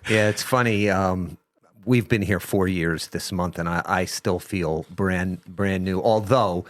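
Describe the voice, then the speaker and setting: Deep-voiced older New Jersey man. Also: steady tone, a little slow, no echo, clean studio sound. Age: 60s. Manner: easygoing, chatty, lots of yeahs. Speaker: host. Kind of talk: casual interview chat.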